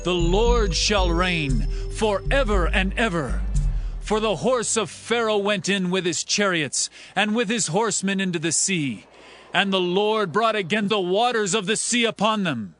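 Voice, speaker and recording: An actor playing a reverent, exultant Hebrew leader, declaiming praise in drawn-out phrases in a dramatized scripture reading. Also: male voice, high-pitched, animated, a bit bright. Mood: solemn, triumphant. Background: prominent music.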